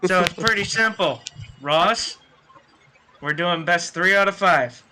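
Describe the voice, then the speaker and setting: A man's voice: high-pitched, slow pace, noisy background. A man on a casual panel podcast, talking bluntly and combatively with plenty of swearing. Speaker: podcast panelist explaining a tiebreaker.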